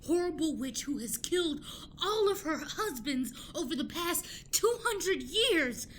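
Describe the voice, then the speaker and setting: A woman plays an anxious, sweet young woman in an improvised radio play. Her voice is high and rather slow, with emphatic, pleading drama. There is some noise on the recording. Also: expressive, fairly bright tone, heavily acted. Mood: urgent, pleading.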